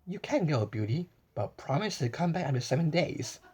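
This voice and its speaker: A man softly voicing a lonely, pleading beast. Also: a little high, big pitch swings, normal speed, slightly rough. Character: lonely gentle male beast, sad and pleading.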